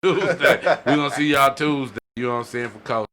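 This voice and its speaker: A man's voice: mid-range voice, fairly quick, crowd noise. A man hosting a podcast in casual, slangy talk, peppering his sentences with repeated check-in phrases like "you know". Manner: repeats "you know what I'm saying" tags. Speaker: podcast host. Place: podcast studio.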